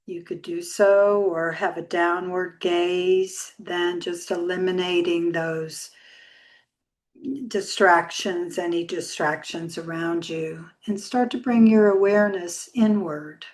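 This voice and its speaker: Slow, gentle woman guiding meditation. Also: on the low side, fairly even intonation, rough recording. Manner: soft, gentle, soothing, unhurried with long pauses. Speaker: yoga meditation teacher. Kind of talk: guided meditation.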